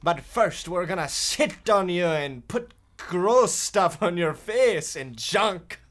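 A man's voice: sits high, lively intonation, slow pace, a bit bright, full character voice. A man playing a pompous, resentful twelve-year-old bully in a stagy parody of an old radio drama. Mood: menacing, scornful.

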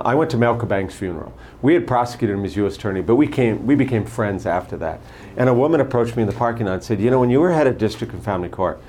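An older man with a fairly low voice answers interview questions in a measured, steady way, often drawing on stories from his long career. A faint hiss sits under the recording. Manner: measured, anecdotal, lists names and past posts. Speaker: candidate for prosecutor.

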